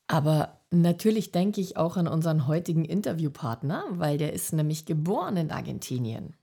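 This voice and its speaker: A woman's voice: lowish voice, dark tone, husky. A woman hosting a podcast, asking questions in a casual, chatty interview style.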